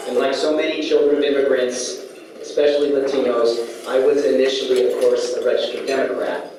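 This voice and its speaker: A man's voice: medium pitch, background noise. Middle-aged man speaking in a clattering banquet hall. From United States, California. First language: Spanish. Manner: steady, sincere, with frequent "uh" pauses. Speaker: guest speaker. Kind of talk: prepared political speech. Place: large banquet hall at a lunch event. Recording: echoey hall, distant, clattering dishes.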